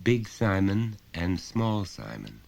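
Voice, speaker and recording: A man voicing a small, earnest, stubborn little boy in a storybook reading, softly and simply spoken. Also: deep voice, sing-song, slow pace, gravelly, pitched above the performer's own voice, full character voice. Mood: timid, defiant.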